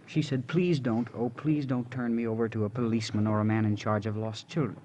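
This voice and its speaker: An actor playing a gentle, devoted young drifter in old radio drama, talking simply, earnestly and hesitantly. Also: male voice, mid-range voice, little pitch movement, fairly quick, natural voice. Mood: earnest, halting. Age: young adult. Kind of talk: 1940s-50s radio drama acting. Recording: noisy vintage radio recording.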